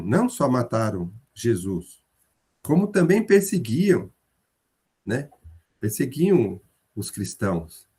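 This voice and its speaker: A man leading a group Bible study over a video call, explaining slowly and lifting his voice when he acts out quotes. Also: medium pitch, darkish tone, husky.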